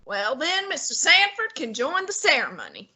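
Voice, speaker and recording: A woman playing a fussy, imperious, heavyset male detective in a student radio drama, speaking in a haughty, deliberate, commanding way with a breathy tone. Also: high-pitched, wildly swooping pitch.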